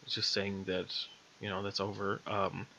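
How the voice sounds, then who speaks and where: A man's voice: fairly low pitch, completely flat delivery, moderate pace, pushed and bright, a little hiss or hum. A man reading his own short story aloud as an amateur storyteller, on a slightly dull lo-fi recording. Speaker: storyteller, author.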